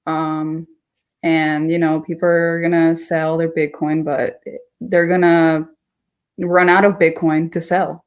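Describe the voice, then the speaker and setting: Lower-voiced young woman guest. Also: flat delivery, slow pace. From Ecuador. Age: 20s. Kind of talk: casual interview chat.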